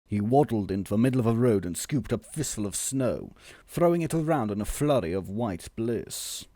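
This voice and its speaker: Mellow deadpan man narrating. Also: lowish voice, normal speed, dry recording. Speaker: story narrator. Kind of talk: scripted comic story narration.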